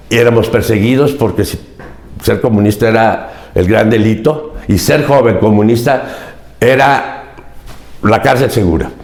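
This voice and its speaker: Older Mexican man, low, roomy sound. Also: a little mellow. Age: 70s. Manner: earnest, emphatic, repeats key phrases. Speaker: podcast guest. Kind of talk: reflective interview storytelling.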